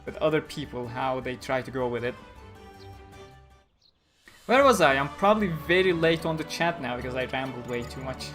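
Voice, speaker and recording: A man's voice: medium pitch, lively intonation, moderate pace, pauses often, fairly bright tone, soft background music. A man from Turkey with a Turkish-accented English, chatting casually and rambling as a livestream host while reading chat. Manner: rambling, reflective, frequent restarts.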